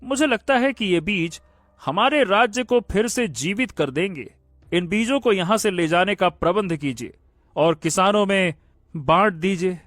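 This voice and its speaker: A man playing an excitable, kind-hearted young prince in a cartoon fairy tale, with theatrical drawn-out exclamations. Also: high-pitched, no echo. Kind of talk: animated Hindi moral-story cartoon dialogue.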